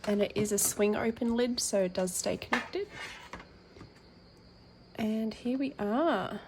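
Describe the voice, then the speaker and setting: A woman with a croaky, worn-out voice talks casually through an unboxing at her table, filling pauses with ums and reading labels aloud. Her tone has a gravelly edge, with a faint hiss of background noise. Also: fairly high pitch. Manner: chatty with frequent ums, reads packaging aloud. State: croaky, tired voice. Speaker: colouring channel host.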